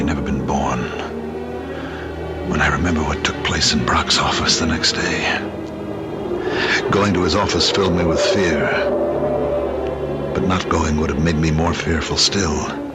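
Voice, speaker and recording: An actor playing a desperate, fearful, tormented husband in an old-time radio drama, earnest and anguished in his delivery. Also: rumbling low.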